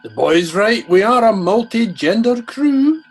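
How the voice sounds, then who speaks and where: A man's voice: high voice, animated, measured pace, soft background music, exaggerated voice. A man playing an earnest, insistent know-it-all Home Guard crewman in a comedy radio play. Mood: correcting. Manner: corrective, insistent.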